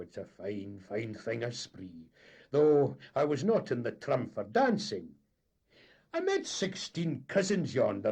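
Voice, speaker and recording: A man voicing a vain, boastful Highland boat captain with a Scottish accent. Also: creaky, dark tone, husky, exaggerated voice. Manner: blustering, boastful.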